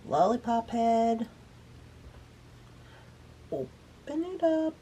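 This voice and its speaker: A woman's voice: a little high, big pitch swings, extremely slow, mellow, a little hiss or hum. A woman hosting a beading tutorial, explaining each step in a chatty, easygoing way while she works. She is a bit sniffly. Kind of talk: casual step-by-step craft demonstration.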